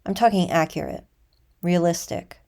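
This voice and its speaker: A woman teaching a guided meditation in a measured, deliberate way, leaving pauses between phrases. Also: on the low side, warm tone.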